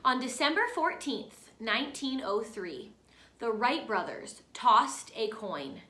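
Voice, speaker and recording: A woman in her thirties, a teacher, reading a picture book aloud to her class from her living room couch and pausing to ask the children questions. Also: on the high side. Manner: slow, expressive storytime reading with questions to children. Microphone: phone camera at a short distance. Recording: echoey room.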